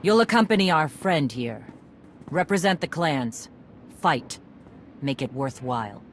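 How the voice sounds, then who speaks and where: A woman's voice: low-pitched, lively intonation, measured pace, slight background noise. A woman playing a stern, proud warrior clan leader in a video game, terse and commanding. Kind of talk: scripted video game acting.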